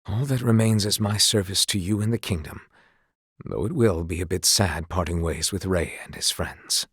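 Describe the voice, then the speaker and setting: An actor playing a solemn, honourable former sea knight in a video game, dutiful and full of remorse, with formal, courtly phrasing. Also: male voice, low-pitched, normal speed, husky, dry recording, clean studio sound. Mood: grave, sincere. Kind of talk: dramatic scripted game dialogue.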